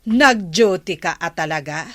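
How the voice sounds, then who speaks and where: Bright-voiced, nagging Filipino village wife. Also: female voice, lowish voice, animated, measured pace, no room sound. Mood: suspicious, scolding. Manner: scolding, questioning. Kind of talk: Filipino radio comedy drama acting.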